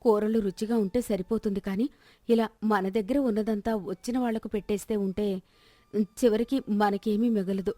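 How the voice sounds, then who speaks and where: Nagging, penny-pinching lady guest acted by a woman for a storybook tale, mellow delivery. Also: medium pitch, steady tone, normal speed, no echo, lightly acted. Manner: nagging, advising. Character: miserly, bossy female houseguest and relative.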